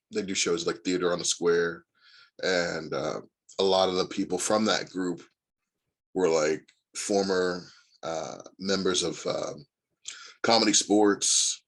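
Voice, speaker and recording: Low-voiced husky man telling stories. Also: a bit bright. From Indiana, USA. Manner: rambling storyteller with many fillers. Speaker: guest actor. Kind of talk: casual interview chat.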